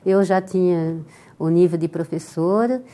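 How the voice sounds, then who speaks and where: Older french lady talking portuguese w/ a french accent, warm mellow tone, calm storytelling. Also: fairly low pitch, a little slow. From France. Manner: calm storytelling with soft laughs. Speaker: guest. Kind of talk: TV interview.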